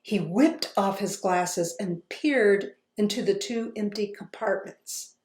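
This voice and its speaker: Woman author, children's read-aloud, unhurried, roomy sound. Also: mid-range voice. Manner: slow, expressive storytelling. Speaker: author reading her book aloud. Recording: roomy echo.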